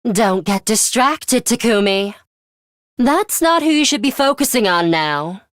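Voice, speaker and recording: An actress playing a stern, aloof young woman in a video game, speaking in a curt, commanding, deliberate way. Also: fairly high pitch, animated, no echo, studio quality. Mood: stern, urgent. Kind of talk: scripted video game character lines.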